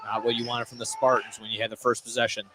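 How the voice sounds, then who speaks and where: A man's voice: medium pitch, flat delivery, fast talker, over a noisy crowd. A man giving casual color commentary on a live basketball radio broadcast. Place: indoor basketball gym. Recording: very loud noise, live gym broadcast.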